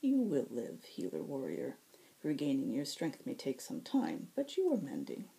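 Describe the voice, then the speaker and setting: A woman voicing a gentle, affectionate cat-like female fairy healer in a story, speaking in formal, soothing phrases. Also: extremely low pitch, wildly swooping pitch, normal speed, gravelly, full character voice.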